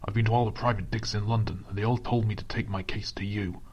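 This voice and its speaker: Man playing a low, melodramatic noir everyman. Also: steady tone, fast, slightly rough, lo-fi sound. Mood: pleading. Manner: dramatic, brooding. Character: melodramatic hard-boiled London everyman, exasperated client of a detective. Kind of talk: film-noir parody narration and radio play acting.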